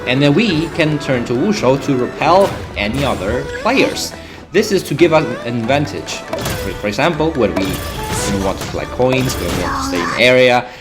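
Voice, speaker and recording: A young man, chatting casually through gameplay in a rambling way, full of fillers and restarts. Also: mid-range voice. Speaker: gaming channel host. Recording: game music behind the voice.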